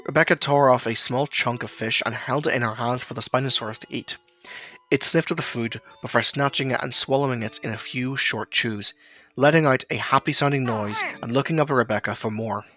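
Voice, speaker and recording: A man's voice: mid-range voice, a little fast, pushed and bright, soft background music. A man reading scripted narration for an audio drama as its storyteller.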